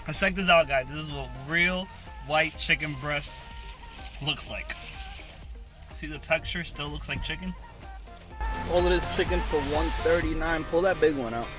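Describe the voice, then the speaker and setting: A man's voice: fairly high pitch, fairly even intonation, moderate pace, pauses often, music in the background. A man from Puerto Rico talking casually to his viewers as he tours and tastes things. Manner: chatty, addresses viewers directly. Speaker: travel vlogger. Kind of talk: casual travel vlog.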